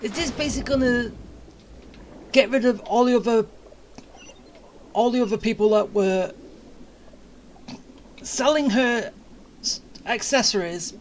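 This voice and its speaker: A woman giving offhand gameplay commentary as a streamer, in short, halting remarks. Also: a little high, slight background noise, rough recording. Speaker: game streamer.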